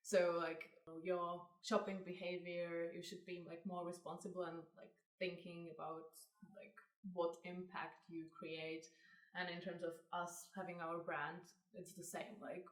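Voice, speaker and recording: A young woman with a Czech accent, a designer giving measured interview answers peppered with "like". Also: lowish voice, little pitch movement. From Czech Republic. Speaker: designer, co-founder of a clothing brand. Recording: echoey room, mic at a distance.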